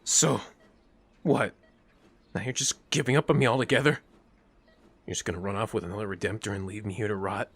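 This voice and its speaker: A man playing a bitter, wounded young man in an audio drama, with a raspy voice and a blunt, accusing manner. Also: lowish voice, a little fast, long pauses, fairly bright tone.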